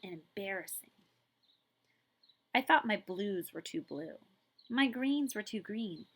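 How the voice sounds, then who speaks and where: A woman librarian reading a picture book aloud to children in an expressive way, with drawn-out emphasis and a habit of addressing her listeners. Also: medium pitch. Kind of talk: children's read-aloud storytelling with asides to listeners.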